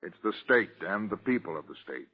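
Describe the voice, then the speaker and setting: An actor playing a dutiful, earnest prison warden with a formal, deliberate manner in 1940s radio drama style. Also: male voice, low-pitched, animated, slightly rough. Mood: solemn. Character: dutiful, earnest male prison warden, jumpy but firm. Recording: muffled old radio recording.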